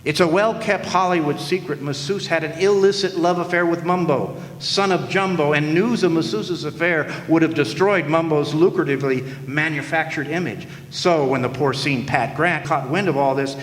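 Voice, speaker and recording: A man's voice: a little high, normal speed, few pauses, natural voice. An actor in a live radio play playing a wisecracking hardboiled male private eye who narrates in a dry, sardonic way. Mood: wry. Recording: echoey hall with faint noise.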